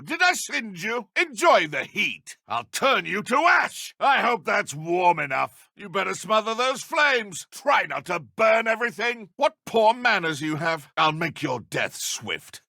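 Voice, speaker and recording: An actor playing a haughty, menacing dark wizard in a video game, with a British accent and pompous, formal, theatrical delivery. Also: male voice, a little high, creaky, no room sound, clean studio sound, full character voice. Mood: menacing, mocking.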